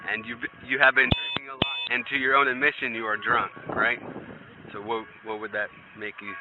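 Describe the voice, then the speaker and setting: A man's voice: mid-range voice, level tone, fairly quick. An American man, a police officer, questions a driver at the roadside, persistently repeating answers back as questions. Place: outdoors at a roadside at night. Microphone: body-worn camera. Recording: tinny bodycam audio with street noise.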